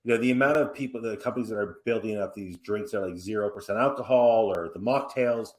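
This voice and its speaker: A podcast host in his forties with a fairly low voice talks in a loose, rambling way, circling back and restarting his sentences as he tells his stories. The room around him rings with a noticeable echo. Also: fast talker. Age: 40s. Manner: rambling, animated storytelling with restarts and asides. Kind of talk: casual conversational interview. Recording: roomy echoey room.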